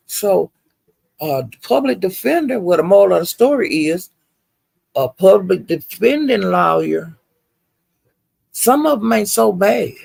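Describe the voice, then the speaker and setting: An older woman chats off the cuff to her livestream viewers. Her voice sits high and crackles with fry, recorded close and dry. She rambles in a folksy, salty-tongued way. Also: a little mellow, no echo. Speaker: livestream host. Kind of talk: casual chat to live viewers.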